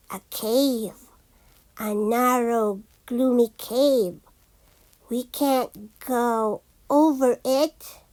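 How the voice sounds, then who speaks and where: A woman's voice: sits high, a little mellow. A woman reading a children's picture book aloud in a theatrical, sing-song manner, like a storytelling teacher. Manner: theatrical, slow and deliberate with sing-song repeated phrases. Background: background noise and sound effects.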